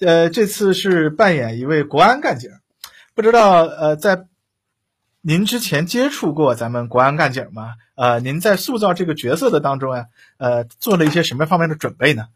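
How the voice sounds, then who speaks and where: A man hosting a live interview, speaking in a halting, conversational way. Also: a little high, big pitch swings, breathy edge. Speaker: interview host.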